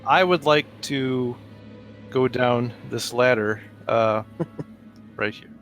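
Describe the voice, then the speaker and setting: A man's voice: medium pitch, slow, fairly bright tone, faint music behind. A man talking casually as a player in a tabletop roleplaying game.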